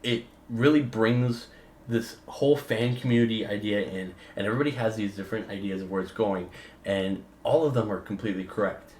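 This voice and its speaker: A man giving a casual, opinionated video essay, talking emphatically and informally with slang in a rambling way. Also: fairly low pitch. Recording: roomy echo with background noise.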